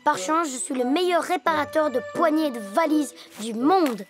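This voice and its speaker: A woman voicing a calm, slightly boastful young boy hippo in a children's cartoon, boyish and reassuring. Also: high voice, normal speed. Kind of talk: children's cartoon dub acting. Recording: music under the voice.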